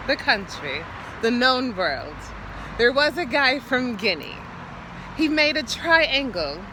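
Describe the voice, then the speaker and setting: High swoopy woman's voice doing improv poetry outside, halting dramatic phrases, faint street noise. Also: bright, forceful tone, low-quality recording. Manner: theatrical, halting short phrases with repeated words. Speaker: poet. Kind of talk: improvised spoken-word poetry monologue. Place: outdoors, standing or walking.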